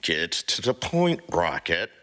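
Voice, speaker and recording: A man's voice: sits low, very animated, takes it slowly, sharp tone, pitched below the performer's own voice, exaggerated voice. A man voicing an exasperated, sarcastic male space outlaw leader in a comic fan fiction audio drama.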